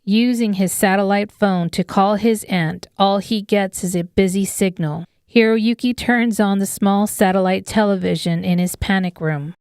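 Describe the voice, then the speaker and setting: A woman's voice: mid-range voice, steady tone, moderate pace, few pauses, darkish tone, clean tone, no room sound, clean studio sound. A woman reading scripted narration of an apocalyptic horror audiobook. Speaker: author narrating her audiobook.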